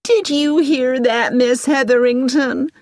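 A woman voicing a pleasant, sociable middle-aged American lady with an American accent who talks slowly and asks questions in an audiobook. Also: sits high, steady tone, darkish tone, no echo, clean studio sound. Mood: friendly. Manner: slow, inquisitive and sociable.

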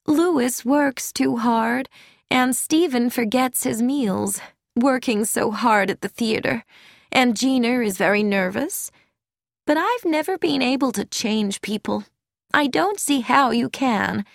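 A woman voicing a gentle, serene elderly lady who speaks softly and musically, as a character in an audiobook. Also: on the high side, takes it slowly, gravelly, dry recording, clean studio sound, voice pushed up. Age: older. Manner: soft, musical and untroubled.

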